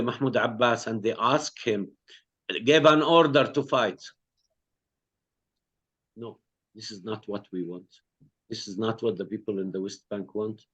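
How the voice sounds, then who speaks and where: A man's voice: on the low side, highs cut off. A man speaking earnestly on an online panel, often repeating words as he searches for how to say things. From Palestine. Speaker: co-director of a peace network, panel speaker.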